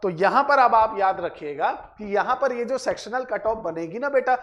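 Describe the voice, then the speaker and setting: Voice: medium pitch, animated, normal speed, fairly bright tone, lo-fi sound, a little hiss or hum. A teacher explains things to students, repeating points and checking in with short tag questions. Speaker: exam-prep teacher and channel host.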